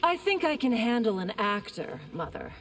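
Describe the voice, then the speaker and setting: An actress playing a loyal, composed young princess in a video game, speaking with earnest poise. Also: female voice, a little high, big pitch swings, normal speed, in a large hall, very noisy. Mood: composed, dutiful. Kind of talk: dramatic video game dialogue.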